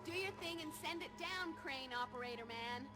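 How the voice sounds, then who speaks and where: A woman's voice: high voice, moderate pace, natural voice. A young American woman playing a brave, wisecracking vampire slayer in a video game, flippant and sarcastic. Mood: urgent. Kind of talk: video game cutscene acting. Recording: loud music under the voice.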